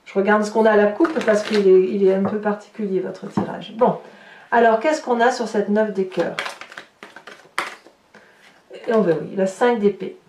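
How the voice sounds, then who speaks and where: A woman giving an informal tarot reading to viewers, in a halting way with repeated words and little tag questions. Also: medium pitch, fairly even intonation, a little mellow. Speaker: tarot reader presenting horoscope readings. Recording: roomy echo, distant mic, faint noise.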